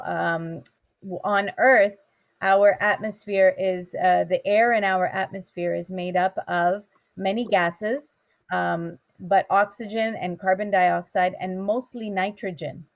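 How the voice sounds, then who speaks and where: A woman, a teacher from Canada, patiently answering students' questions step by step on a video call. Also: medium pitch. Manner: patient, explains things step by step.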